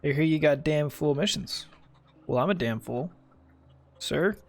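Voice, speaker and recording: A man's voice: a little high, animated, unhurried. A man chatting casually in joking slang as he streams a video game. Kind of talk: casual chat while playing a video game.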